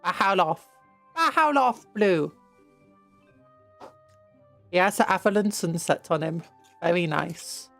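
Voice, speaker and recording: A young British woman with a croaky voice from hay fever, rambling playfully on a livestream while she paints miniatures, with restarts and sudden squeals. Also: fairly low pitch, animated, takes it slowly, long pauses, fairly bright tone, faint music behind. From Britain. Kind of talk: casual livestream chat.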